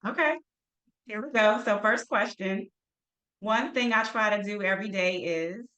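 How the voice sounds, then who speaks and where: A woman hosting a podcast interview, affirming her guest with soft responses and asking questions. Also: mid-range voice, measured pace, muffled recording. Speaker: podcast host.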